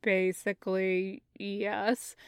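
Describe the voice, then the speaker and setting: A woman voicing a reserved, polite man with dry humour and a soft-spoken, measured delivery in an audiobook-style story. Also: mid-range voice, flat delivery, clear, resonant tone, no echo, pitched below the performer's own voice. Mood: matter-of-fact.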